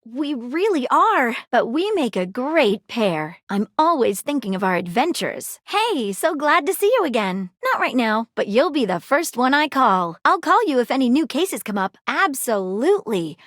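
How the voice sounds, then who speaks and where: A woman's voice: high voice, very animated, normal speed, few pauses, fairly bright tone, no room sound, studio quality, heavily acted. A woman voicing a flirty, clever female magnifying-glass detective in a video game, with prim, theatrical sleuth diction. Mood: friendly, eager. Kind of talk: animated video game voice acting.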